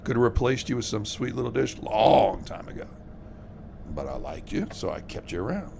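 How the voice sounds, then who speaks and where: An actor playing a bitter, dismissive aging stage magician in a radio mystery drama, gruff and worn out from drink. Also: male voice, medium pitch, very animated, normal speed, background noise. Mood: dismissive, scornful.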